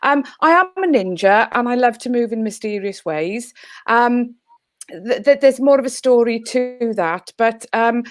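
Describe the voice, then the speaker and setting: A woman with a Welsh accent, Welsh her first language, speaking in a heartfelt, earnest way as a teacher and guest on an online panel. Her voice sits a little high, and the sound is dry and close over a video call. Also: expressive, measured pace, no room sound. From Wales.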